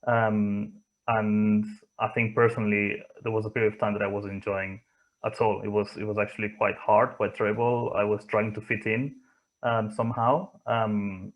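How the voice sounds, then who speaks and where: A man from Spain with a Spanish accent, giving reflective interview answers in a thoughtful, hesitant way with frequent pauses. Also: on the low side, little pitch movement, rough recording. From Barcelona area, Spain. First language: not English, from Spain. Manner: hesitant, thoughtful, pausing often. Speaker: interview guest, motorsport engineer.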